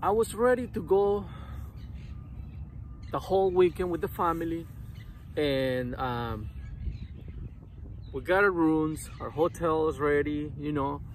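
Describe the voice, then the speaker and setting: Man, thirties, casual vlog, slightly high pitch, phone held at arm's length, outdoors, faint background noise. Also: slow pace, long pauses. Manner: chatty, rambling, many "uh" fillers. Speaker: lawn care business owner vlogger. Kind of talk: casual vlog talking to camera. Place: outdoors in a sunny city park, sitting on grass. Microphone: phone camera at arm's length.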